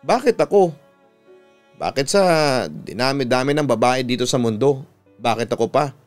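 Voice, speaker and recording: A man's voice: mid-range voice, lively intonation, normal speed, darkish tone, soft background music, lightly acted. A Filipino man voicing an anguished, self-doubting woman who pleads emotionally, as in a radio drama. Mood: anguished pleading.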